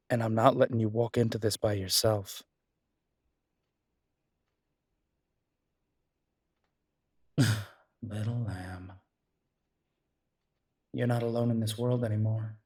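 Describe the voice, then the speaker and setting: A man playing a devoted, stubborn, protective werewolf detective in an intimate audio roleplay, speaking earnestly and insistently with emphatic pauses. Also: on the low side, no echo, clean studio sound. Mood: fiercely determined, then tender, resolute. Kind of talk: dramatic roleplay audio acting, intimate one-sided dialogue.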